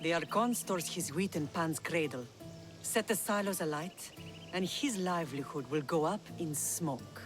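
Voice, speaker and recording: An actress playing the fierce, vengeful mother of the hero in a game cutscene, who argues forcefully for bloodshed. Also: fairly low pitch, unhurried, music in the background, close to an everyday voice. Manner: forceful, scheming.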